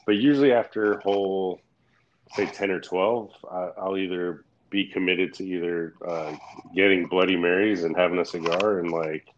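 A man's voice: deep voice, fairly even intonation, moderate pace, slight background noise. A man in casual chat, talking frankly and rambling, swearing freely and laughing often. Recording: dull lo-fi audio.

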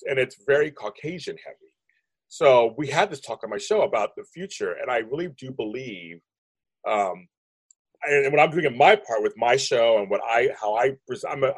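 Fast-talking deep-voiced man in his fifties. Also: slightly rough, dry recording, rough recording. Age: 50s. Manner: rambling, restarts sentences, emphatic. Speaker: interview guest. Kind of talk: animated conversational interview.

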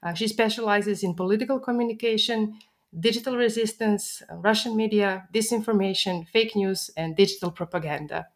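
A woman hosting a book podcast, introducing her guest and asking measured questions. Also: mid-range voice. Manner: measured, friendly questions with fillers. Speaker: podcast host. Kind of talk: interview hosting.

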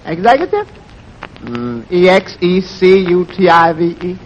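Meek young waiter, high voice, lively swoops, dry quips, polite. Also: male voice, measured pace, muffled recording, a little hiss or hum. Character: meek, dim-seeming young male waiter with sly wit. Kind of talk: 1940s radio comedy acting.

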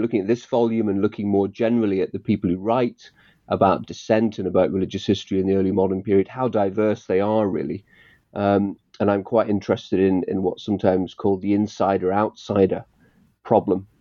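Guest historian man, low mellow voice, measured and hesitant, dry muffled recording. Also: no echo. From Northern Ireland, raised in northern England. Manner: thoughtful, many ums and restarts. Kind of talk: academic interview. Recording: dull lo-fi sound.

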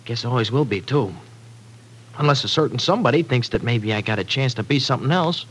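An American actor playing a lovestruck young tough guy in an old-time radio drama, talking in halting, slangy, streetwise speech. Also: mid-range voice, animated, a bit bright, slightly rough. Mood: self-deprecating. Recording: noisy vintage radio recording.

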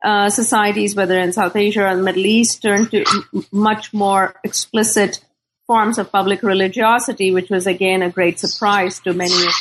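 A middle-aged woman from Pakistan speaking as a scholar in an interview, thoughtful and deliberate, often pausing to search for words. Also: mid-range voice, steady tone, noisy background. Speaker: scholar and author being interviewed. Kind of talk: thoughtful academic interview talk.

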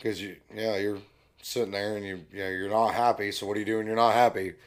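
A young man co-hosting a casual podcast, hoarse from losing his voice, easygoing and agreeable. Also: fairly low pitch, level tone, quick pace. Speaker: podcast co-host. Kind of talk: casual chat.

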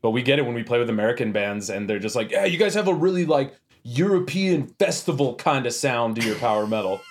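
Canadian man with a deep baritone. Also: fast talker. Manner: animated storyteller, acts out quotes. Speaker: guest, metal band frontman. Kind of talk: casual podcast chat.

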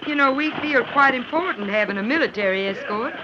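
Actress as an earnest, genteel pioneer wife. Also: female voice, on the high side, animated, a little fast, lightly acted. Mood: gracious. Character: kindly, trusting pioneer wife, proud and firm. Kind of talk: 1940s-50s radio western acting. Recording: muffled noisy vintage radio recording.

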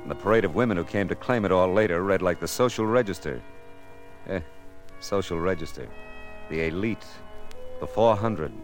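Male actor as American private eye, low voice, wry and world-weary, old radio drama with music. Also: normal speed, pauses often, natural voice. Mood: wry, reflective. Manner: sardonic, wisecracking. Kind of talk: 1950s radio drama acting, hard-boiled first-person narration.

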